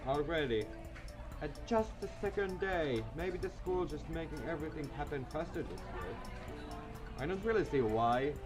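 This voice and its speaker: A man's voice: fairly high pitch, sing-song, moderate pace. A man reading a visual novel aloud as a let's player, with amateur dramatic narration. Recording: loud music behind the voice.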